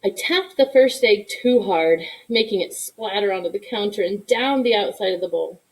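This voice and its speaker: A woman reading a children's story aloud in an expressive narration, with asides to young listeners. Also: medium pitch, moderate pace, poor audio. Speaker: storybook reader.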